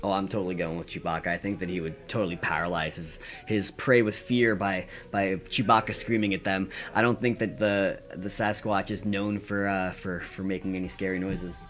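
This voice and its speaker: A man's voice: low voice, little pitch movement, normal speed, hardly any pauses, fairly bright tone. A man answering quiz questions casually as a podcast guest, thinking aloud as he goes.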